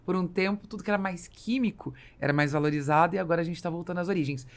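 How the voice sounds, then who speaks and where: A woman's voice: on the low side, rapid-fire. A woman with a Brazilian accent hosting a conversational business podcast and interviewing a guest.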